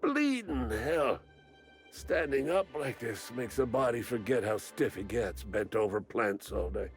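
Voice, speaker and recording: A man's voice: medium pitch, lively intonation, unhurried, soft background music. An older man playing a crotchety, eccentric old botanist in a video game, rambling and grumbling with morbid bluntness. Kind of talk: video game character acting.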